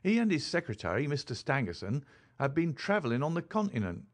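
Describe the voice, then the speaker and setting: Audiobook role: distressed landlady and mother played by a male reader, formal, dramatic, high-ish pitch, very expressive. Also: fairly quick. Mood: grave, disapproving. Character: distressed, agitated mother, a respectable boarding-house keeper.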